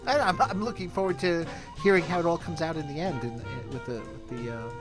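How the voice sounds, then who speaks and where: A man's voice: a little high, brisk, music in the background. A man hosting a podcast in a chatty, casual, self-deprecating way. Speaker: podcast host.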